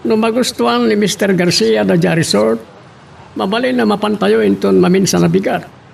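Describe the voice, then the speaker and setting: Man acting a high, very gravelly boss. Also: normal speed. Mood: commanding. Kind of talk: Ilocano radio-style drama acting.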